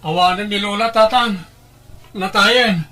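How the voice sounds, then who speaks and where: A man's voice: high voice, unhurried, sharp tone, soft background music. A man playing a dutiful, grateful young student grandson in a radio drama, affectionate and earnest. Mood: alarmed, grieving. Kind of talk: Ilocano radio drama acting.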